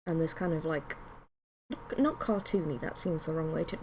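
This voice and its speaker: British woman streamer, lowish voice, some noise. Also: normal speed. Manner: chatty, informal. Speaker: game streamer. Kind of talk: casual live-stream chat with read-aloud story narration.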